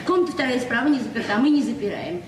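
Actress as naive chatty woman librarian, forties, high-pitched, hesitant, Soviet radio play, noisy distant recording. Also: animated, fast talker, echoey room, natural voice. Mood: chatty, earnest. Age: 40s. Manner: flustered, hesitant, chatty, repeating words. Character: naive, open, talkative provincial woman librarian in her forties. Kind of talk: naturalistic Soviet radio drama acting.

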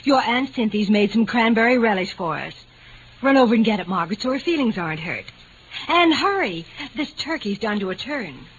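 An actress playing a busy, dismissive mother in an old-time radio drama, brisk and scolding. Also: on the high side, animated, lightly acted. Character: busy, dismissive mother preparing holiday dinner. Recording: dull, slightly noisy old radio recording.

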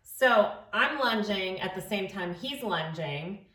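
A woman's voice: mid-range voice, animated, slow pace, bright, forceful tone, distant mic. A young woman co-hosting a podcast in casual chat, rambling and chatty with a slightly nasal voice. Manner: chatty, rambling, laughs often. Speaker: podcast co-host. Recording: echoey room, voice a bit off-mic.

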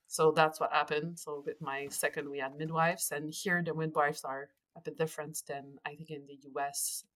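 Low-voiced woman with a Quebec French accent. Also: fairly bright tone, poor audio. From Quebec, Canada. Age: 40s. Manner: earnest, pauses often with fillers and restarts. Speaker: podcast guest. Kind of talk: casual interview chat.

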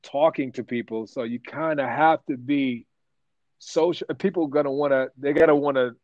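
A man being interviewed about his work as a voice actor, telling stories in a rambling, animated way with lots of restarts. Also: medium pitch, normal speed, no room sound, highs cut off. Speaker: voice actor guest. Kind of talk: casual interview chat.

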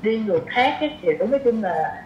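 A woman's voice: fairly high pitch, expressive, a little fast. A woman with a southern Vietnamese accent talking casually as a podcast guest, explaining things in a chatty way with everyday examples. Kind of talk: casual conversational interview. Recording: echoey room, muffled, faint noise.

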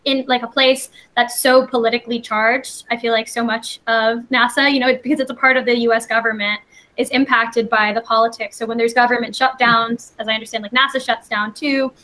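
A woman's voice: fairly high pitch, fairly even intonation, fairly quick, pushed and bright. A woman interviewing a guest, asking questions in a casual, curious way.

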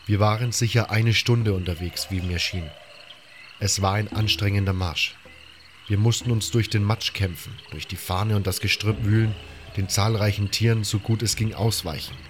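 A man's voice: on the low side, fairly even intonation, normal speed, background noise. A man reading a scripted first-person fantasy story as its narrator. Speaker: storyteller reading a game-based audio story.

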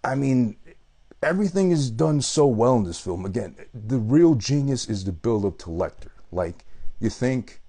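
A man's voice: mid-range voice, animated, normal speed, warm tone, breathy edge. A man giving a rambling, profane movie review with an unusual voice. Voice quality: unusual voice that might put people off. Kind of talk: casual profane movie rant.

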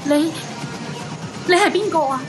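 A woman's voice: extremely high pitch, measured pace, over music. A woman with a Hong Kong Cantonese accent playing a nervous, haunted wife in a radio drama. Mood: alarmed. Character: nervous young married woman haunted by a ghost.

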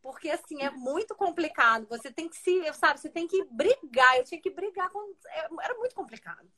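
A woman who interviews her guest in a friendly, casual way, with quick back-channel replies. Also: sits high, lively intonation, pushed and bright. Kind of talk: casual conversation.

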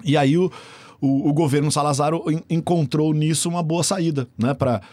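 A man's voice: on the high side, steady tone, moderate pace, a little mellow, no room sound. Man, 40s, researcher in an interview, hesitant, many restarts, dry sound. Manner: thoughtful, hesitant, with frequent restarts. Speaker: researcher guest. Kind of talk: explanatory interview talk.

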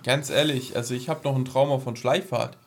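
A man's voice: medium pitch, steady tone, fairly quick. A man co-hosting a podcast, chatting casually and colloquially and rambling along. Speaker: podcast co-host. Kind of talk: casual chat about science fiction.

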